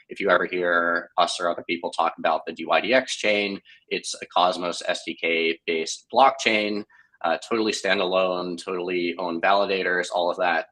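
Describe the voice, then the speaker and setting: A man in his thirties, a company founder, explaining things in a measured, conversational way, sounding a bit hoarse. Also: deep voice, sharp tone, rough recording. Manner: measured, explanatory, occasional filler. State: slightly hoarse from many meetings. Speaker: company founder being interviewed.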